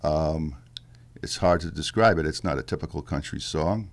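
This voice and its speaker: A male musician with a deep bass-baritone voice, talking in a halting, thoughtful way with fillers and restarts in a casual interview. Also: creaky. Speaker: musician guest.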